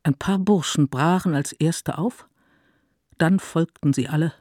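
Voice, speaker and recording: Dry, higher-pitched male narrator. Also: a little slow, darkish tone, no room sound. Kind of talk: scripted literary narration for radio drama.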